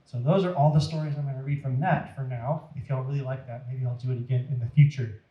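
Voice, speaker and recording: A man's voice: medium pitch, steady tone, fast talker, echoey room, lo-fi sound. A man with an American accent reading expressively and explaining things simply as a children's storyteller.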